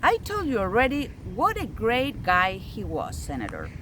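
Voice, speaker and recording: A woman's voice: mid-range voice, huge pitch swings, normal speed, a bit bright. A woman voicing a spirited, chatty activist in a children's book read-aloud, recorded outdoors by a street. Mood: eager. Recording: street and car noise behind.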